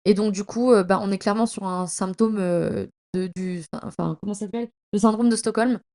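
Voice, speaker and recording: A young woman chatting casually as a podcast host, in a slangy, effusive and emphatic way, often halting and stumbling over her words. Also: medium pitch, fairly even intonation, dark tone. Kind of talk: casual, chatty monologue about reading.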